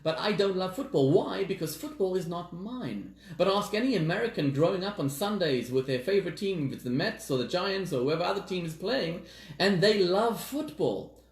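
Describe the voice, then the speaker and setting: A man from Zimbabwe raised in South Africa, a rabbi giving a sermon, telling stories with dramatic pauses and slowing down on key words. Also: sits high, lively intonation. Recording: echoey room.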